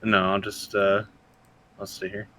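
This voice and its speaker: Bright, lowish-voiced man with background noise. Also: completely flat delivery, a little slow. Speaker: tabletop game player. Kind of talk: casual chat.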